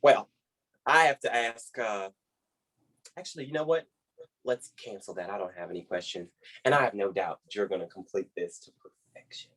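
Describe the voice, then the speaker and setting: A man's voice: lowish voice, moderate pace, fairly bright tone. A man with an American accent playing a folksy, effusive, rambling boss on a conference call in a comedy web series.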